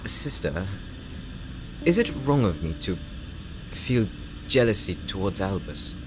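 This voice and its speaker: An actor playing an earnest, insecure young knight in an audio roleplay, speaking gently and hesitantly, as if confiding in his sister. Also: sits low, sing-song, takes it slowly, background noise, full character voice. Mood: wistful, jealous. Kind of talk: audio roleplay drama, intimate monologue.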